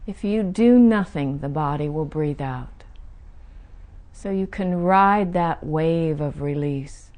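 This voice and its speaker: A woman guiding a meditation, giving soothing, gentle instructions with unhurried pauses between phrases. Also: low voice. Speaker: meditation teacher guiding a session. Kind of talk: guided meditation.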